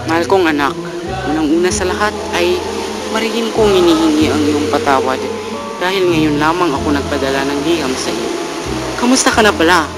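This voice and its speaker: A man's voice: on the high side, lively intonation, fairly quick, natural voice. A man playing a proud father who reads out a grave letter to his son in a formal, solemn way. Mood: formal affection. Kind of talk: dramatic letter reading. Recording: loud music over amateur drama audio.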